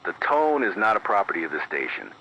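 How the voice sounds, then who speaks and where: A man playing a grave, careful late-night emergency announcer, reading a formal scripted bulletin with measured, precise delivery through an AM radio filter. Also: lowish voice, sing-song, pushed and bright, exaggerated voice. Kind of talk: scripted analog horror emergency news bulletin. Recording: dull band-limited AM radio, faint noise.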